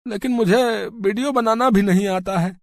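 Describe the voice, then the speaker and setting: An earnest, fretful male school employee from a cartoon story, acted by a man. His voice sits high for a man, and he speaks in simple, emphatic lines. The recording is very dry. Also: moderate pace, no echo, lightly acted. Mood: puzzled.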